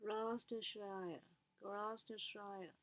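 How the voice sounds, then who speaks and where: A woman who is a native Chinese speaker learning English, reading news aloud haltingly and repeating words to practise. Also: mid-range voice, steady tone, a bit bright.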